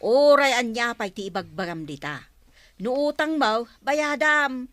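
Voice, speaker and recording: A stingy, sharp-tongued village storekeeper in an Ilocano radio comedy drama. Her voice is raised in pitch and has a piercing, forceful edge. The Ilocano accent suits her combative manner. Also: animated, unhurried, dry recording. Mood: angry. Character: stingy, quarrelsome village store owner woman, scolding neighbours.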